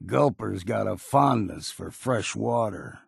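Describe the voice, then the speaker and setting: A grizzled old male hunter and guide for a video game. The voice is low and thick with rasp, close and dry. He talks in a folksy, laconic way, like a hard drinker who knows the wilds. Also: animated, slow pace, no echo, exaggerated voice. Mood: grizzled, matter-of-fact. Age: older. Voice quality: gravelly, raspy. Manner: folksy, dropped endings, laconic. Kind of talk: video game character acting.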